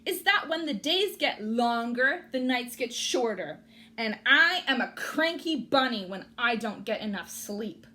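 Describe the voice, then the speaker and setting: Woman putting on a loud, cranky storybook rabbit. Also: a little high, animated, a little slow. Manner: grumbling, emphatic. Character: cranky, grumbling male rabbit, a pessimistic complainer. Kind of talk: children's storybook read-aloud.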